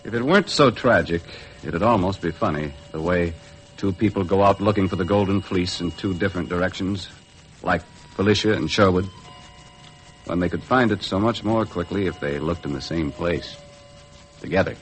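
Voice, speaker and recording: A man's voice: low-pitched, normal speed, slight background noise, natural voice, poor audio. An actor playing a rugged, commanding boat skipper who tells his sea adventure tersely in 1950s radio style. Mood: reflective. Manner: terse, dramatic storytelling. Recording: 1950s radio drama with loud music.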